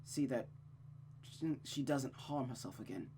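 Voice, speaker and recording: A woman's voice: extremely low pitch, animated, unhurried, mellow, slight background noise. A woman voicing a stoic, weary nobleman with a subdued, evasive manner in a visual novel read-aloud. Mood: pained, weary.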